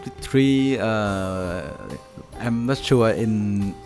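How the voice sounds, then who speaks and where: A man's voice: fairly low pitch, extremely slow, a little mellow, faint music behind. A man with a Thai accent telling a story casually, hesitant and full of restarts. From Thailand. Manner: hesitant, many fillers and restarts.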